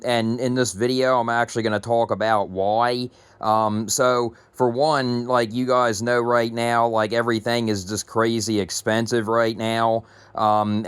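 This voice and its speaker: A man in his thirties talking casually to his viewers, rambling with many fillers and restarts, his voice a little raspy from allergies. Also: fairly low pitch, no echo. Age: 30s. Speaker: tech YouTuber. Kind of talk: casual vlog talk to camera.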